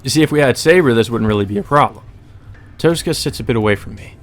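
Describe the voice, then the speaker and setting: A man's voice: mid-range voice, expressive, fast talker, faint music behind. A man reading visual novel narration aloud as a let's play, mixed with casual, sweary commentary.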